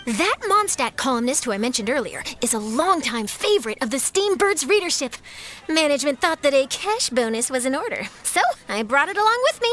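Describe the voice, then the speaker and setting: A woman's voice: high voice, sing-song, a little fast, a bit bright. A woman voicing an eager young female news reporter in a video game, perky, persuasive and theatrical. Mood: chatty. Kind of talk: animated video game voice acting.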